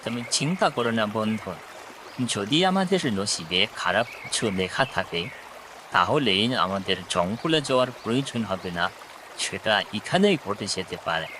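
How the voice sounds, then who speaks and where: A man's voice: lowish voice, big pitch swings, moderate pace, noisy background. A man voicing an earnest, reassuring pigeon friend in a children's cartoon.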